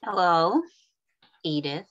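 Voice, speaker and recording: A woman's voice: fairly low pitch, takes it slowly, muffled recording. A woman playing plain-spoken small-town working women in a script read, with a folksy, informal manner. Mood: uneasy. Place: indoor room at home, on a video call.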